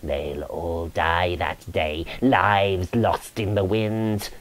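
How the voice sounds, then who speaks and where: Male reader as a grim damned ghost, very slow delivery, solemn incantation, woeful preaching, verse storytelling. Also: sits low, level tone, pitched below the performer's own voice, full character voice. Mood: doom-laden, woeful. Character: grisly, woeful ghost of a damned soul, grimly prophesying doom. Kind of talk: dramatic verse reading of a medieval romance.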